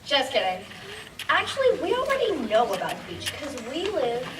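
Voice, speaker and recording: A woman's voice: sits high, wildly swooping pitch, moderate pace. A female player as a cheeky, joking teenage student in an amateur stage radio play. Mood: teasing. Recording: echoey stage, distant mic, music behind.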